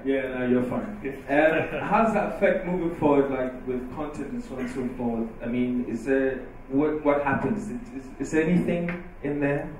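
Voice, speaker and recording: A man in the audience asks a hesitant, halting question. His voice is thin and far from the mic. It echoes around a large hall over faint background noise. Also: medium pitch. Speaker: audience member asking a question.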